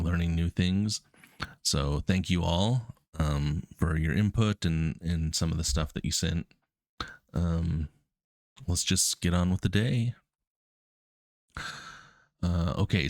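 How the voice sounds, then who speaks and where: Deep-voiced man with a stuffy cold. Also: no echo, studio quality. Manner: casual, relaxed, many ums. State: stuffy with a cold, cough drop in mouth. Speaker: coding streamer. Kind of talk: reading puzzle text aloud and thinking out loud.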